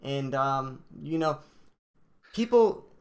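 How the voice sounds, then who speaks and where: A man's voice: medium pitch, lively intonation, takes it slowly. A man hosting a live game stream, talking loosely with lots of fillers. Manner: loose, laid-back, full of fillers like "like" and "you know". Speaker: stream host. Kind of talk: casual live stream chat.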